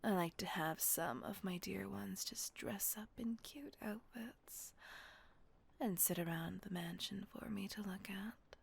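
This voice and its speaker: A woman voicing a gentle, possessive female vampire mistress in a whispered audio roleplay, slow and coaxing. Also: medium pitch, full character voice. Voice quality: breathy whisper. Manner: slow, coaxing, softly reassuring.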